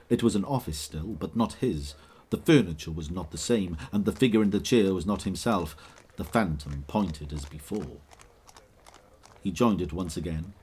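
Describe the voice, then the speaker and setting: Lowish-voiced male dramatic story narrator. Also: breathy edge. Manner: expressive, measured, old-fashioned literary phrasing. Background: music.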